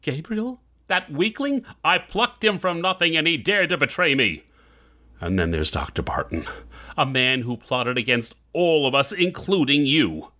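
An actor playing a ruthless, self-justifying villain in an audio horror drama, scornful and theatrical. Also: male voice, fairly high pitch, expressive, a little slow, pushed and bright.

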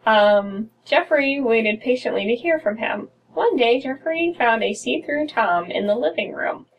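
A young American woman with a husky, slightly high voice co-hosts a story-reading show. She reads aloud and chats casually, sitting a little away from the mic. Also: expressive, unhurried, highs cut off. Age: young adult. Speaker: co-host reading stories aloud. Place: small dim room indoors. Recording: somewhat distant from mic.

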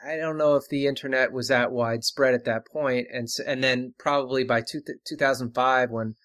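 Casual man with a mid-pitched dry voice. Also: fairly even intonation, fairly quick, a bit bright, dry recording. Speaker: panel guest. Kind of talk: casual panel discussion.

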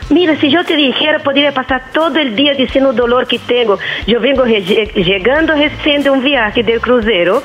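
A woman's voice: high-pitched, normal speed, few pauses. A mature woman from Brazil with a Brazilian accent in Spanish, a native Portuguese speaker, calling a live show and talking emphatically. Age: middle-aged or older. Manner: emphatic, impassioned, repetitive. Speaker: phone-in caller. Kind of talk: opinionated live phone-in talk. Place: phone line into live TV show. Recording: phone call heard over broadcast.

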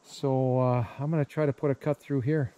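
A man's voice: mid-range voice, flat delivery, moderate pace, dark tone, dry recording. A man hosting a camping vlog, talking in a casual, folksy and playful way outdoors at a campsite. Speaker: host of a camping trip vlog. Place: outdoors at a wooded lakeside campsite. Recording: noisy outdoor recording with rain patter. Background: rain and outdoor noise.

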